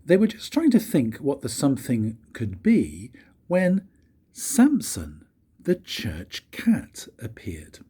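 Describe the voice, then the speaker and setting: An older man, a grandfather, reading a children's story aloud in a husky, breathy voice with expressive, dramatic pauses. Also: mid-range voice. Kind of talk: storybook read-aloud narration.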